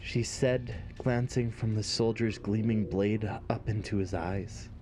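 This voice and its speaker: A man narrating a scripted fantasy story in a measured, dramatic way. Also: on the low side, steady tone, a little mellow, faint music behind.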